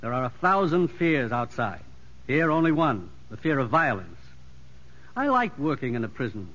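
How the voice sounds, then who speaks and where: An actor playing a seasoned, plain-spoken prison doctor giving wry cautions in a 1950s radio drama. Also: male voice, mid-range voice, big pitch swings, moderate pace, a bit bright. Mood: wry, cautioning. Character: seasoned, matter-of-fact male prison doctor. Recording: muffled 1950s radio recording.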